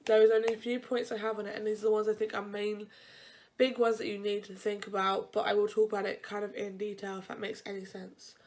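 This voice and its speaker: A woman's voice: mid-range voice, flat delivery, quick pace, a little mellow. Young woman student vlogger, stuffy nose, loose chatty delivery that wanders and restarts mid-sentence. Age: young adult. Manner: rambling, chatty, frequent self-corrections. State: blocked-up nose. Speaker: university vlogger. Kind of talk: casual advice vlog.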